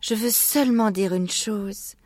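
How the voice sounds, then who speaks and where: A man voicing a gentle, timid, adoring female fox with a soft, pleading manner in a storybook reading. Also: sits high, unhurried, dry recording, pitched above the performer's own voice. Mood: shy, adoring. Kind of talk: storybook character voice.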